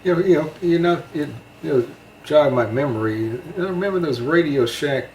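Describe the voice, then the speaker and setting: A man's voice: on the high side, lively intonation, normal speed. A man chatting casually and reminiscing as the guest in an interview. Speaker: interviewee, radio hobbyist. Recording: roomy echo, background noise.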